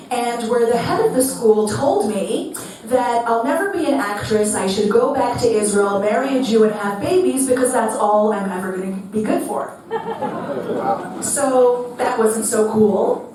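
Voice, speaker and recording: Woman in her 40s, from New York, Hebrew-speaking family, salty self-mocking stage storytelling, booming hall echo, distant mic, faint room hum. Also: unhurried, dark tone. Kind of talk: comic personal talk before an audience. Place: event venue with audience. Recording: echoey hall, picked up from a distance.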